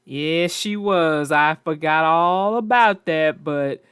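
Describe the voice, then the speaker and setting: A woman reading a children's storybook aloud in a slow, expressive way, then chatting casually about it. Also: deep voice, clear, resonant tone, no room sound, studio quality. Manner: slow, expressive read-aloud with character voices. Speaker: storybook reader and co-host.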